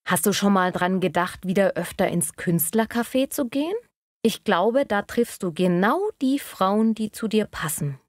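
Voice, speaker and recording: A woman playing a curious, encouraging friend in a scripted language-learning dialogue, speaking conversationally and clearly. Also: mid-range voice, lively intonation, moderate pace, dry recording, studio quality, natural voice. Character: curious, encouraging female friend of a single man.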